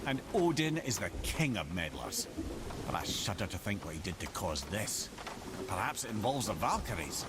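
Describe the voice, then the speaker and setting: A man's voice: on the low side, very animated, normal speed, heavy background noise. A male actor with a Scottish accent playing a wry, talkative, learned old companion in a video game. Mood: wry, troubled. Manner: wry, eloquent, theatrical.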